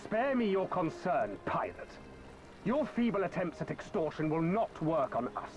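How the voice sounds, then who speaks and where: An actor playing a haughty Imperial military officer in a video game, pompous and full of scorn. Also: male voice, a little high, very animated, moderate pace, dull audio, soft background music. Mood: contemptuous threat.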